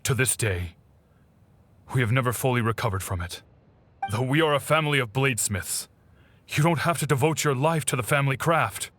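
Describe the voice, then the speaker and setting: A man's voice: medium pitch, expressive, a bit bright, a little hiss or hum, lightly acted. Actor as a solemn, remorseful clan father. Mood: solemn regret. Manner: solemn, measured, resigned. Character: grave, remorseful father, head of a fallen swordsmith clan. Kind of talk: dramatic video game dialogue.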